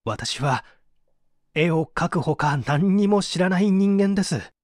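A man's voice: sits high, lively intonation, a little slow, no echo, studio quality. A man playing an evasive, subdued painter who is under interrogation, hesitant and confessional, in a dramatised mystery reading. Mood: subdued, resigned.

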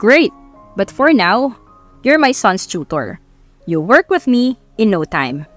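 A woman's voice: mid-range voice, very animated, takes it slowly, faint music behind. A woman voicing a confident, commanding male company boss and father in a romance audiobook. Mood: pleased, assured. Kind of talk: romance audiobook character acting.

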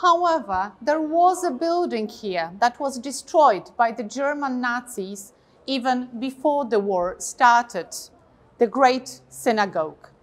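A woman in her thirties with a Polish accent, giving a scripted historical presentation outdoors to camera on a lapel mic, in a measured, deliberate way. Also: a little high, animated. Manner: measured, slow, deliberate delivery. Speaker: guide. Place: outdoors by a modern building.

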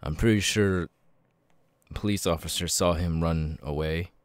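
A man voicing a nervous, awkward young man who stammers haltingly through verbal tics in an ASMR roleplay. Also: low-pitched, fairly even intonation, dry recording. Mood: uneasy, reassuring.

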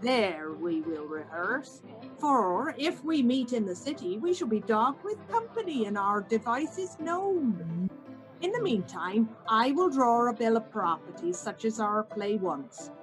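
A fussy, coaxing troupe leader played by a woman entreats slowly with lively swings of pitch. It is a radio play with faint music underneath. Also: poor audio. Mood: earnest, organising. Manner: deliberate, entreating. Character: fussy, coaxing organiser of an amateur acting troupe. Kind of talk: amateur Shakespeare radio play acting.

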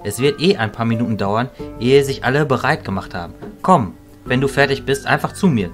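A fatherly old wizard who leads his fellows, played by a man in a fantasy audio drama. He speaks in a medium-pitched voice, calm and considerate. Music runs behind the voice. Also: a little fast, close to an everyday voice. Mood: calm, friendly. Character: fatherly, kindly old male wizard leader.

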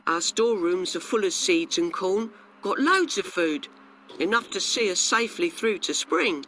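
A man's voice: sits high, normal speed, bright, forceful tone. A man voicing a practical, hard-working ant in a children's storybook, curt, blunt and grumbling. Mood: unmoved, blunt.

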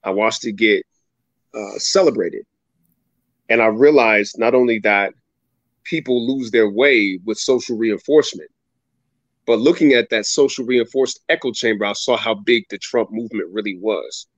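Animated storytelling man on a video call. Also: lowish voice, moderate pace, no room sound. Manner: animated, dramatic storyteller who acts out quoted voices. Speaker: guest speaker. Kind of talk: storytelling interview answer. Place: home room with ceiling fan, indoors. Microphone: video call webcam. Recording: dull, dry video call audio.

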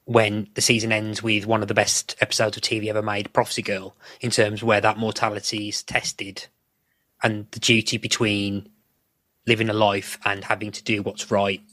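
A man's voice: on the low side, bright, forceful tone, dry recording. A man giving thoughtful, circling analysis as a guest in a casual podcast discussion.

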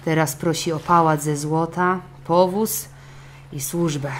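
A woman's voice: sits low, fairly even intonation, a little slow, slight background noise. A woman voicing a meek, downtrodden poor fisherman in a children's storybook, timid and pleading.

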